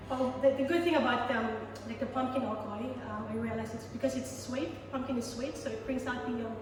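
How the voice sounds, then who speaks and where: A woman's voice: on the high side, fairly quick. Filipino woman chef, echoey and distant. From Philippines. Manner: hesitant, many ums and restarts. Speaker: guest chef. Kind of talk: cooking demonstration. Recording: echoey, far from the mic, music behind.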